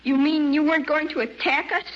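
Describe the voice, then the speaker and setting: A woman's voice: high-pitched, steady tone, fairly quick, lightly acted. A woman playing an anxious, defiant young American frontier boy in a radio sci-fi drama, earnest in delivery. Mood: bewildered. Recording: dull radio recording, faint noise.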